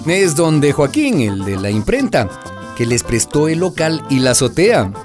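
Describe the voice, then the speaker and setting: A man's voice: a little high, very animated, unhurried, over music. A man playing a nosy, folksy neighborhood father who narrates a radio drama in a confiding, gossipy way.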